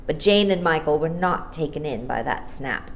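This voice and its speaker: A woman's voice: sits low, lively intonation, normal speed, slight background noise. A woman narrating a children's story expressively from a script as a storyteller.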